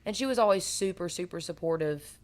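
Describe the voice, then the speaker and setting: Young female guest, raspy alto, earnest storyteller. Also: on the low side, brisk. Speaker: guest singer. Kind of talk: casual interview chat.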